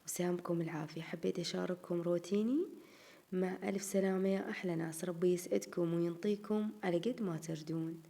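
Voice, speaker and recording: Tired Iraqi woman chatting fast. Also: lowish voice, fairly even intonation, creaky, dark tone. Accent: Iraqi Arabic. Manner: chatty, addresses viewers as girls. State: tired, slightly strained voice. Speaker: home vlogger. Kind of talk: casual voice-over narration of a home routine.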